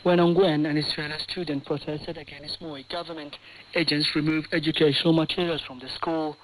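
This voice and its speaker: A man's voice: on the high side, level tone, brisk, low-quality recording. A man reporting a scripted news story as a radio correspondent, heard over shortwave. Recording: hissy shortwave radio broadcast.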